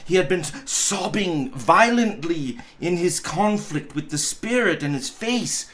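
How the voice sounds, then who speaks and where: Animated, high-voiced man reading a story. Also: measured pace, slight background noise. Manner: theatrical, emphatic. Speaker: pastor reading a story aloud. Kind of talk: expressive storybook narration.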